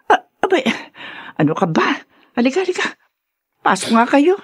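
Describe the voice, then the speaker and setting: A woman's voice: high voice, very animated, normal speed. An actress playing a concerned older grandmother in a radio drama, motherly and fussing, given to exclamations. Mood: concerned, soothing. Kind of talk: Filipino radio drama acting.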